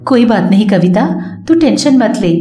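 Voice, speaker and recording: A woman voicing a kind, trusting elder daughter-in-law who speaks gently and reassuringly. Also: mid-range voice, expressive, moderate pace, soft background music, lightly acted. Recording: echoey room.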